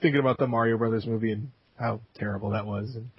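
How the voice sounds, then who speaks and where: A laid-back man co-hosting a casual gaming podcast, cracking jokes and using a lot of fillers. His voice is husky and a little breathy. The recording is dull and lo-fi. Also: on the low side, fairly even intonation, fast, no echo. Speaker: podcast co-host. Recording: dull lo-fi sound.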